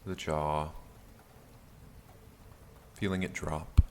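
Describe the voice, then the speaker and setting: A man leading a guided meditation in a calm, soothing, gentle manner with long pauses. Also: very deep voice, slight background noise. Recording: steady rain sound behind the voice. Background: rain backtrack.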